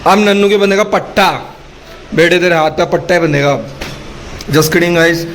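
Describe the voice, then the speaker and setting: A hoarse man chatting casually to viewers in a family vlog at home, lively and teasing with his kids. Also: high-pitched, moderate pace. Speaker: family vlogger. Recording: noisy home recording.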